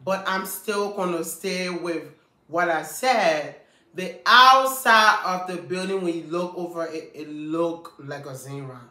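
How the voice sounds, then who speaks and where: A woman's voice: fairly low pitch, unhurried, pushed and bright. A woman, vlogging casually and emphatically to the camera. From Liberia. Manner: chatty and emphatic, gestures while talking. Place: small indoor room. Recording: echoey small room.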